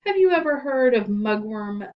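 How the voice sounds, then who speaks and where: A stern school principal from a children's storybook, acted out by a woman reader. He interrogates in a barking, deliberate way, leaning on each question to intimidate. The recording is dull and lo-fi. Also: fairly high pitch, lively intonation, distant mic, voice pushed up, close to an everyday voice. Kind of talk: children's storybook read-aloud.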